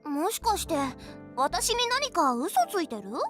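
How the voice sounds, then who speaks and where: A woman's voice: extremely high pitch, sing-song, a little fast, fairly bright tone, faint music behind, exaggerated voice. A woman playing an innocent, curious little girl in a visual novel, with a childlike, guileless delivery. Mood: puzzled. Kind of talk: cute visual novel voice acting.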